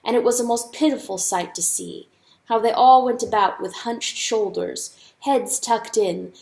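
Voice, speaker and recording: A woman's voice: mid-range voice, a little mellow. A woman narrating an audiobook story as a dramatic, scripted reading. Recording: echoey, roomy sound.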